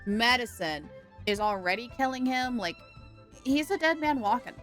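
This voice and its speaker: A woman livestreaming a game with casual, playful, expressive commentary for her viewers. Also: on the high side, normal speed. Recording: game music behind the voice.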